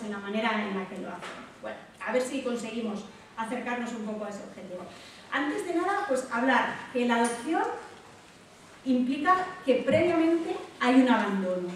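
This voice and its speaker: High-voiced Spanish woman in an echoey hall. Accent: Spain Spanish. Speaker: guest speaker. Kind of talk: informal public talk to families. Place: echoey indoor hall at a public talk. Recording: very echoey hall, distant mic, background noise.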